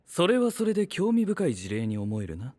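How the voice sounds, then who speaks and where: Analytical, higher-voiced young knight. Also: male voice, wildly swooping pitch, warm tone. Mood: curious, analytical. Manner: measured, scholarly, blunt observations. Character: inquisitive, analytical young male knight, calm and self-assured. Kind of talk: Japanese anime voice drama acting.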